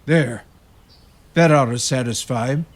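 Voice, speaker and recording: An actor playing an eerie rural caretaker of a haunted tomb in a radio play, telling it in a halting, ominous, folksy way. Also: male voice, mid-range voice, natural voice. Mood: folksy, wary. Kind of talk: radio play horror storytelling. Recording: reverberant radio drama, audible background noise.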